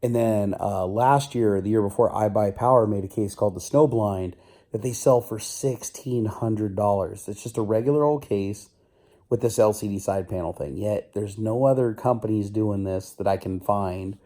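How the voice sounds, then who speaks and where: A middle-aged man vlogging casually, his voice still slightly rough and stuffy from a cold, rambling and joking at himself. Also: fairly low pitch, normal speed. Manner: rambling, self-mocking, loud. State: stuffy nose. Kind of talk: casual video diary.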